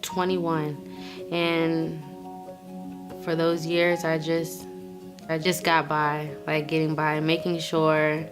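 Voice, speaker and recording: A woman in her mid-twenties shares her experience in a low voice. She is informal and halting, circling back over phrases, with music clearly audible behind her. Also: completely flat delivery. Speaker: client of a charity, young mother. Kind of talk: personal testimony in an interview. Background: instrumental music.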